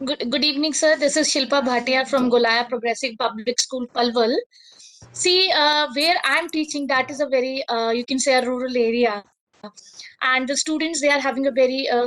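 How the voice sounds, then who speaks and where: A woman's voice: high-pitched, a bit bright, rough recording. A woman teacher giving chatty workshop feedback over a video call. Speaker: school teacher.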